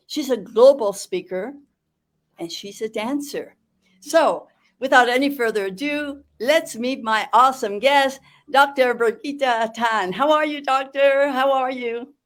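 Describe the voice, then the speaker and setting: An older woman hosting an interview over a video call, chatty and effusive, filling pauses with fillers and correcting herself. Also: a little high. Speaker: interview host. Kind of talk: casual interview chat.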